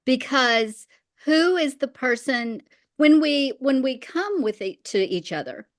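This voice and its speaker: High-voiced woman, emphatic faith teacher. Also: animated, slow pace. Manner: emphatic, repeats phrases for weight. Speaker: interview guest, faith teacher.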